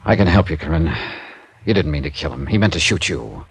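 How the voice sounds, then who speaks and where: Hard-boiled American radio detective, lively pitch. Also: male voice, brisk, slightly rough, exaggerated voice. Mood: quiet, probing. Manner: clipped, deadpan itemizing. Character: hard-boiled wry male freelance insurance investigator. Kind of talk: 1950s radio detective acting, narration. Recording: vintage radio recording with music.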